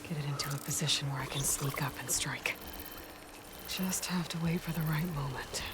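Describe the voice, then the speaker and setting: Young female hunter, game character, lowish voice, focused, deliberate, hushed, noisy backdrop. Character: determined young woman hunter, guarded and focused. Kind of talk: video game voice acting, hushed self-narration.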